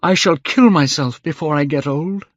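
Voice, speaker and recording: A man acts out an impassioned young man from a storybook, pitched high and swooping with feeling. He sounds aggrieved and fervent, every phrase given slow dramatic weight. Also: animated, dry recording, dull audio. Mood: anguished, fervent.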